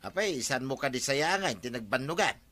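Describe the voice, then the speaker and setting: A man's voice: medium pitch, animated, moderate pace, pushed and bright. A man playing a meddling, grumbling village uncle with an Ilocano accent in a radio comedy drama. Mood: chiding.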